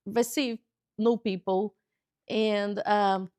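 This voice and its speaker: A woman in her forties from Brazil, whose first language is Portuguese, speaking English with a Brazilian accent in a TV interview and pausing often to find words. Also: medium pitch, fairly even intonation, a little mellow, no echo, studio quality. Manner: halting, searching for words, cheerful. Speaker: guest author. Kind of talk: casual interview chat. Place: local TV studio.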